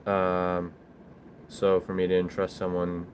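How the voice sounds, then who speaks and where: A man hosting a casual livestream, talking in a halting, rambling, informal way as he answers viewers. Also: sits low, monotone, a little hiss or hum. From Bulgaria. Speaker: livestream host, small business owner.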